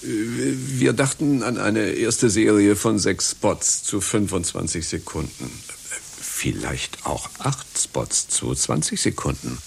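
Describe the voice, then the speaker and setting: An actor playing a fussy, officious managing director, clipped and eagerly deferential, in a satirical radio play. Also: male voice, on the low side, expressive, slow pace, vocal fry, full character voice. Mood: businesslike, agreeable. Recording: hissy radio play recording.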